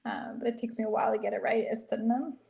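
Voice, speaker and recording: A woman's voice: medium pitch, flat delivery, rapid-fire, vocal fry, mellow. Chatty woman, native Spanish speaker. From Bolivia. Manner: chatty, explains with little quoted asides. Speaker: podcast guest, dietitian. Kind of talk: casual conversational interview.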